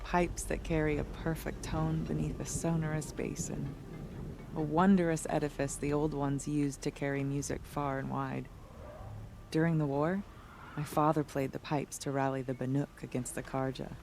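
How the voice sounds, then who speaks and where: Game drummer woman, creaky voice, wistful, solemn, lyrical, resigned, faint background ambience. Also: deep voice, a little mellow, slight background noise. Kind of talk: video game dialogue acting.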